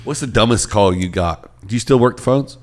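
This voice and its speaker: A man's voice: lowish voice, a little fast. A man from North Carolina hosting a podcast, chatting casually in a joking, teasing way. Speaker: podcast host.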